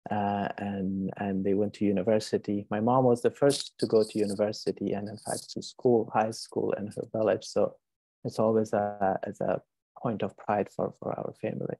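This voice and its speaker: Mellow, low-voiced man. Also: little pitch movement, moderate pace, no room sound, rough recording. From Afghanistan. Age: 30s. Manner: gentle, hesitant, many fillers. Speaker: author, guest speaker. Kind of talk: presenting over a video call. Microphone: video call.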